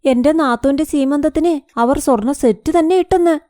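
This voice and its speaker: A woman's voice: high voice, moderate pace, mellow, no room sound, studio quality, natural voice. A woman voicing a self-pitying, wheedling young married daughter in a cartoon moral story.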